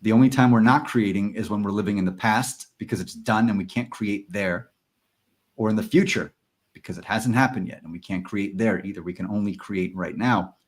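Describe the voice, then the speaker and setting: A man's voice: lowish voice, fairly quick, slightly rough. A man hosting a podcast, speaking earnestly and enthusiastically about ideas. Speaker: podcast host. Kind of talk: casual podcast chat.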